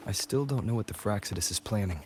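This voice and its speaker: A man playing a calm, serious young adventurer hero in a video game. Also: on the low side, quick pace, a little hiss or hum, natural voice.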